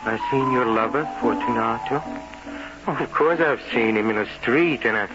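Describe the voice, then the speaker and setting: An actor playing a tormented, jealous, wine-soaked man in a gothic radio drama, halting, repetitive and brooding. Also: medium pitch, over loud music, close to an everyday voice. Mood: brooding, taunting. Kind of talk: old-time radio horror acting. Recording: dull vintage radio recording.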